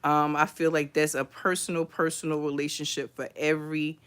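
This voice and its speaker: A middle-aged woman hosting a livestream book review, chatting in an unhurried, thoughtful way with many pauses and restarts. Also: deep voice, flat delivery, a bit bright, clean tone. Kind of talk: casual livestream book talk.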